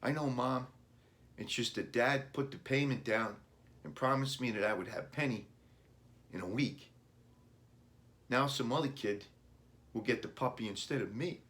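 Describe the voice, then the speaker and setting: A man acts out a sad young boy from a children's storybook. The voice is pushed higher, with a plaintive, earnest plea in it, as a disappointed ten-year-old would speak. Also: normal speed, pauses often, a bit bright, natural voice. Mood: sad, resigned.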